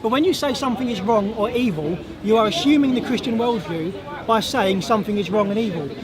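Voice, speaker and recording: A man with a high voice that has gone hoarse, pressing his points in a street debate. He talks insistently and doubles back on words when he is cut off. A crowd murmurs clearly around him in an open public park. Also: a little fast, low-quality recording. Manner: insistent, argumentative, repeats himself when cut off. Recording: outdoor crowd noise.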